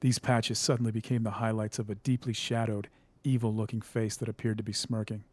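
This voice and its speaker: A man's voice: lowish voice, flat delivery, a little fast, darkish tone, no echo, natural voice. A man playing a solemn storyteller, reading scripted narration of an eerie audio drama.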